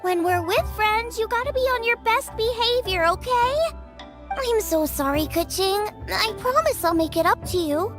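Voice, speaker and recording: A bubbly young chef. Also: female voice, very high-pitched, expressive, moderate pace. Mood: scolding. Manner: cheerful, enthusiastic. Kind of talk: video game voice acting. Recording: game audio with music underneath.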